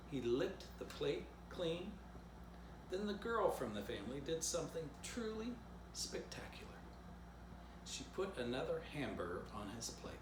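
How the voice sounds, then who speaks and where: Male storyteller for a kids' book, lively pitch swings, playful direct asides, recorded in an echoey room. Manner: playful asides addressed directly to young listeners. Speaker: author reading own book aloud. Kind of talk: animated children's story read-aloud. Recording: roomy echo, background noise.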